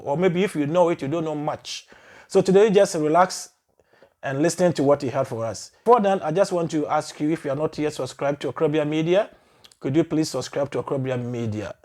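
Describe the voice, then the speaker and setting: A man's voice: fairly high pitch, a little fast, dark tone. A man hosting an interview show, asking short casual questions and giving frequent backchannels. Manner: conversational, brief prompts and frequent backchannels. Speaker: show host.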